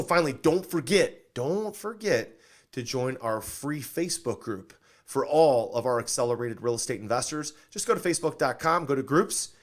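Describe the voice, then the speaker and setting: Man, podcast host, upbeat interview delivery, enthusiastic, affirming, medium pitch.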